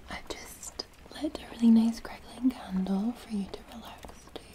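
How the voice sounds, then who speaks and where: Slow whispering woman, ASMR spa roleplay. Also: fairly high pitch. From Scotland. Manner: soft slow whisper, soothing and unhurried. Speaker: ASMR host playing a spa masseuse. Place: indoors, in front of a woodland spa backdrop. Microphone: close ASMR mic, leaning toward camera.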